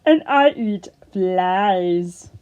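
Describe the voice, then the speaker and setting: A woman voicing a brash, boastful big-mouthed frog puppet for a children's storytime, loud and drawn out. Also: medium pitch, animated, clear, resonant tone, dull audio. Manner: loud, drawn-out, shouting questions.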